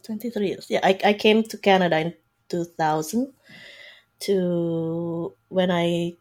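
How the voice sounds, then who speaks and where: Slow-paced woman with an Indonesian accent. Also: on the low side, fairly even intonation, darkish tone. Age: 40s. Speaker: interview guest, software developer. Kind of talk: casual conversational explaining.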